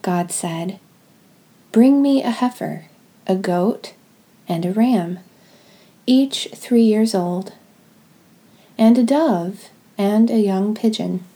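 Slow, mellow-voiced woman guiding meditation. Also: medium pitch. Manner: gentle, deliberate, pausing between short phrases. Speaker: podcast host leading guided prayer and scripture reading. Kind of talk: guided meditative devotional reading.

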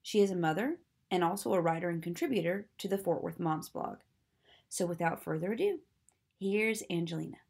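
A woman's voice: fairly low pitch, a little fast, creaky, a little mellow, husky. Upbeat woman podcast host. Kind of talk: warm podcast interview and introduction.

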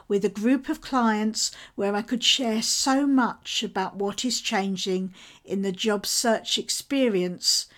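A middle-aged woman hosts a show and speaks candidly about her own experience in a measured, reflective monologue. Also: fairly high pitch. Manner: candid, measured, self-disclosing. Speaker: podcast host.

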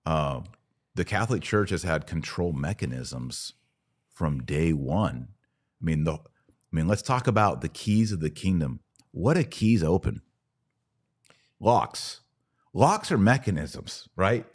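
A man's voice: low-pitched, sing-song, a little slow, pauses often. A man hosting a podcast, giving conversational religious commentary in an emphatic, argumentative way with rhetorical questions. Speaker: podcast host.

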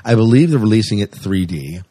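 Guy hosting a casual podcast, kinda raspy low voice, really dry close sound. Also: lively intonation, fairly quick, warm tone, no room sound. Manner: chatty, enthusiastic, asks his co-host questions. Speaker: podcast co-host. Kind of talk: casual sci-fi news chat.

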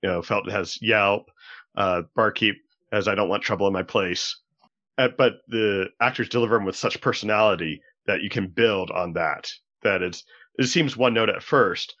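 A man's voice: fairly low pitch, fairly bright tone. A man on a podcast panel, politely explaining his points in casual discussion over lo-fi audio. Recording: dull lo-fi audio.

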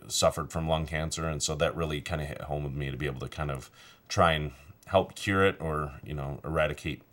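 A man with an extremely deep voice speaks as a surgeon guest in a radio interview. His talk is relaxed and loose, full of "you know" and "kinda". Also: fairly quick.

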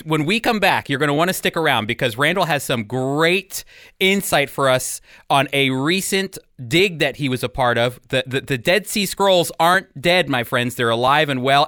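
A man's voice: fairly high pitch, bright, forceful tone, no echo, studio quality. A man hosting a radio interview, speaking emphatically and addressing listeners directly. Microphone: close studio microphone.

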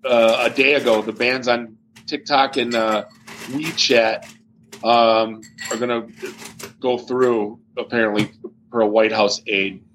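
American male co-host, mid-pitched, blunt and sardonic, offhand chat, slightly noisy recording. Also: a bit bright. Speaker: co-host of a tech talk show. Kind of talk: casual chat.

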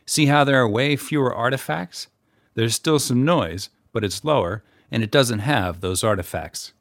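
A man's voice: mid-range voice, moderate pace, no room sound, studio quality. A man giving a scripted how-to talk as a podcast guest, in a wry, plainspoken way. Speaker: guest audio editor and podcast narrator.

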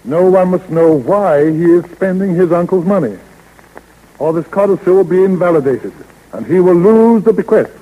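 An actor playing a fussy, formal lawyer in a 1930s radio comedy, speaking deliberately and precisely. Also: male voice, high voice, animated, phone-quality sound. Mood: solemn, stern. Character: fussy, formal male lawyer reading out a will. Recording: 1930s radio recording, long reverb.

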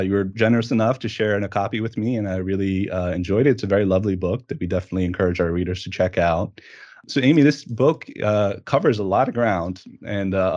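A man's voice: lowish voice, a little mellow. A man hosting an interview in a conversational style. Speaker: interview host. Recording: dull, lo-fi audio.

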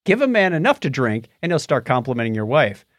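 Animated middle-aged man podcasting, close dry sound. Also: mid-range voice, fairly quick, no room sound. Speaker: podcast co-host. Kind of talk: casual banter.

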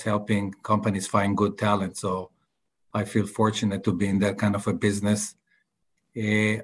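Casting: middle-aged Israeli-accented man, low even voice, hesitant podcast guest. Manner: halting, many filler sounds. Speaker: guest entrepreneur. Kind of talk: podcast interview.

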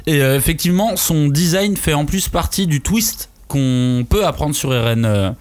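A man's voice: on the high side, animated, normal speed, a little mellow. A young man on a podcast panel, talking casually, hesitant and teasing, often laughing. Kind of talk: casual chat.

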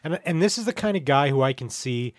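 A man's voice: on the high side, expressive, fast, no echo, studio quality. A man co-hosting a podcast, giving his opinions emphatically in casual conversation. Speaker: podcast co-host.

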